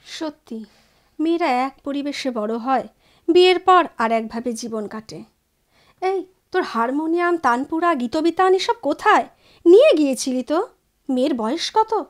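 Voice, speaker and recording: An actress in a Bengali audio drama playing a reflective, outspoken childhood friend, musing and frank. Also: female voice, high-pitched, lively intonation, moderate pace. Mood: inquisitive. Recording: studio drama with drums and music behind.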